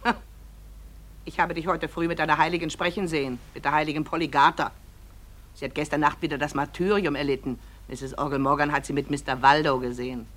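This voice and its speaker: An actress playing a snobbish, scornful wife in a literary radio drama, with a haughty and cutting manner. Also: female voice, sits low, steady tone, fairly quick, fairly bright tone.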